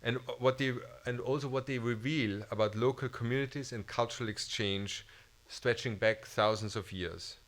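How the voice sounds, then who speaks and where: A man's voice: mid-range voice, moderate pace, fairly bright tone. A middle-aged man with an Austrian German accent, a museum director giving a formal welcome speech on an auditorium stage at a lectern microphone, often restarting and hesitating. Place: auditorium stage under bright lights.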